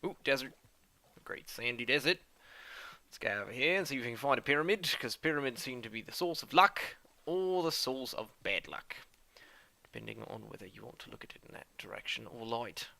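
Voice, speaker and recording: A man narrates his gameplay in a chatty, self-deprecating way, and small discoveries easily excite him. His voice is bright and carries a gravelly rasp. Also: mid-range voice, a little fast. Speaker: gamer commentator. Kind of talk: let's-play commentary.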